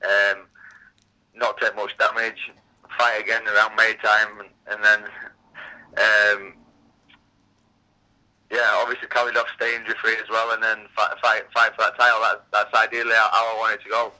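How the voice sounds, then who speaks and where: A man of about thirty with a Yorkshire accent talks as a guest in a casual interview. His voice is very bright and forward, and he often repeats a word or two before he finishes a thought. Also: fairly low pitch, completely flat delivery, normal speed, pauses often, rough recording. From England. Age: 30s. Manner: repeats words, stammering restarts. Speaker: guest fighter.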